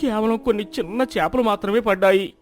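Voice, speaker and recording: A man's voice: sits high, fast, close to an everyday voice. An actor playing an apologetic, deferential village head fisherman in a moral story cartoon, pleading in manner.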